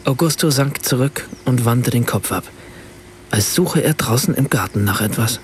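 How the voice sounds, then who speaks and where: A man's voice: mid-range voice, moderate pace, a little hiss or hum, close to an everyday voice. An actor playing a troubled, hesitant writer who narrates his encounter in a reflective, self-questioning way in a radio play. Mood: puzzled, concerned. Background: music.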